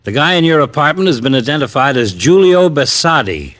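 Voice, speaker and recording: A man acting a gruff, sarcastic, wisecracking American police lieutenant in a 1950s radio drama. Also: fairly high pitch, sing-song, fairly quick, fairly bright tone. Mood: businesslike.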